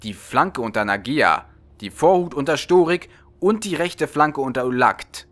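A man with a medium-pitched voice reads a story aloud. He delivers scripted narration in the manner of an audiobook reader. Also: lively intonation, measured pace, fairly bright tone.

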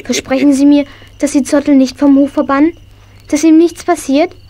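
A woman's voice: sits high, steady tone, moderate pace, dark tone, close to an everyday voice. A woman playing an eager, earnest young girl who loves horses in a children's radio play. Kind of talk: German children's audio play acting. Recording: noisy vintage audio play recording.

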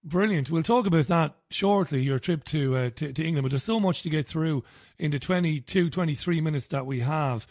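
Middle-aged man, Irish accent, radio host, slightly raised pitch, dry close sound, hesitant with fillers. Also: fairly quick, a little mellow, no room sound. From Ireland. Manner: conversational, hesitant, with fillers, reads quotes aloud. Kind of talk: talk radio interview.

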